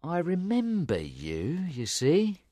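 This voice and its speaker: An actor playing a rough, suspicious working man who questions in an emphatic, probing way in an audiobook drama. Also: fairly high pitch, huge pitch swings, a little slow, no room sound, studio quality.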